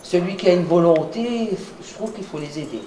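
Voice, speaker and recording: A middle-aged woman from France with a French accent, chatting casually and warmly with travelers she is hosting, often dropping "hein". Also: on the low side, animated, normal speed. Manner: chatty, warm-hearted, punctuates with "hein". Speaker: school worker hosting travelers. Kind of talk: casual chat. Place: small village school, indoors. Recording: echoey room, distant mic, noisy background.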